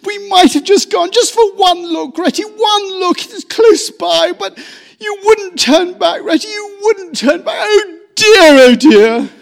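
A man voicing a timid, tearful little male mole, speaking in a high, quavering, sobbing voice. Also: a little slow, vocal fry, full character voice.

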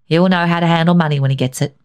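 A woman voicing a gruff, stern old grandfather in a storybook reading. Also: deep voice, steady tone, racing, lightly acted. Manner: gruff, grim.

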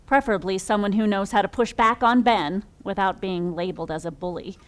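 A woman acting in an audio drama as a brisk, exacting strategist in charge, direct and businesslike. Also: mid-range voice, steady tone, natural voice. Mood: brisk, concerned.

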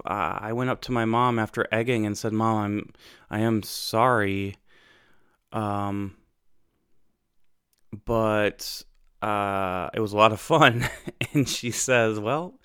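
Low smooth-voiced young man reflecting. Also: no room sound, clean studio sound. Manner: conversational, many fillers and restarts. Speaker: podcast host. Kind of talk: reflective personal storytelling.

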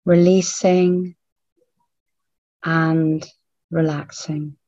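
A woman leading a guided meditation in a hushed, soothing manner, leaving long pauses between short phrases. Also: low voice, level tone. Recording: roomy, phone-like narrowband audio.

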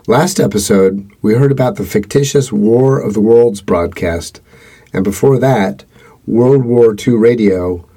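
Low, husky-voiced male podcast host. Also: mellow. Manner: earnest, reads from a script. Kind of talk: scripted podcast narration.

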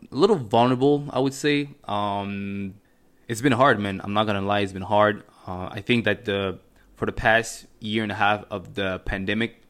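Young french canadian guy hosting his podcast, lowish voice, earnest and pep-talky, lots of ums. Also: a bit bright. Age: 20s. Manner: earnest, motivational, many fillers and restarts. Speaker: podcast host. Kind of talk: casual solo podcast monologue.

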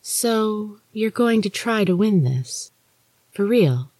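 A narrator voicing a protective, enigmatic ghost sister in an earnest, soft-spoken way for a storybook. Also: medium pitch, lively intonation, slow, breathy edge, dry recording, pitched above the performer's own voice, full character voice. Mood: gentle.

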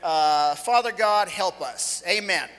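A man's voice: high-pitched, lively intonation, takes it slowly, a bit bright. A man in his late forties preaching a sermon as a visiting speaker, loose and joking, talking conversationally with frequent restarts. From Los Angeles, California. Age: 40s. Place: church hall. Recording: echoey hall with long reverb.

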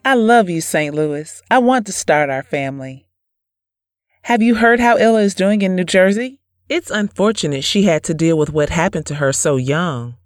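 A woman acting a devoted, trusting, pious young farm wife in an audio drama, speaking gently and earnestly. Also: lowish voice, normal speed, no room sound, studio quality, close to an everyday voice. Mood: loving.

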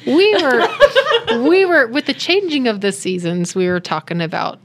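A woman's voice: on the high side, very animated, normal speed, very noisy. A middle-aged woman with kids, chatting casually on a podcast, rambling with plenty of ums and likes. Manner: rambling, with fillers like um and like. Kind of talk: casual group chat.

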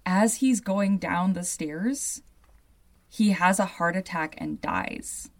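Deep-voiced young woman telling a story. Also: slow. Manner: chatty, loose, lots of "like" asides. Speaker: podcast host. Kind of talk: casual storytelling chat.

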